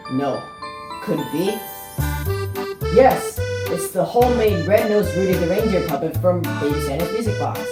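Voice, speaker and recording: Animated puppet-show host in an echoey room. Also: medium pitch. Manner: theatrical, exclaiming, storyteller-like. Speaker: host showing a puppet collection. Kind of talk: narrated homemade puppet show. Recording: roomy echo with faint noise.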